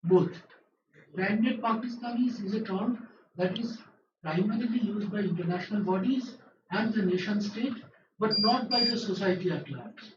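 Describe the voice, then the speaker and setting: A man presenting an academic paper, giving firm, quick answers to questions in a seminar. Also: high voice. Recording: roomy, distant, lo-fi hall recording with noise.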